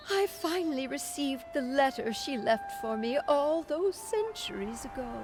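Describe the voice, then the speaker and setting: A woman's voice: very high-pitched, heavily acted. A woman voicing an elegant, sentimental former actress in video game story dialogue, with a dramatic, lilting storytelling manner. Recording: background music under the voice.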